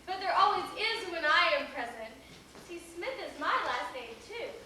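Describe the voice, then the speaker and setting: Lady playing coy scheming young woman onstage, super high swoopy voice, gushy, echoey theater from far off. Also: expressive, moderate pace, sharp tone, heavily acted. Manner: gushing, theatrical, pleading. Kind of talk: stage acting in a one-act comedy. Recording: echoey theater, distant camera, faint hiss.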